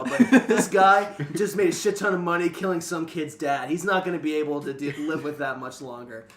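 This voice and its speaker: Casting: male podcast panelist, slightly high voice, wry casual comic riffing, roomy echo. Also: a bit bright. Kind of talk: casual comedic book discussion. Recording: echoey room.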